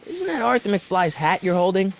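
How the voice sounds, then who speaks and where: A man's voice: high voice, big pitch swings, moderate pace, vocal fry, a little hiss or hum, full character voice, rough recording. An actor playing a polite, inquisitive young man in a video game, talking casually and a little hesitantly as he probes. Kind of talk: video game dialogue acting.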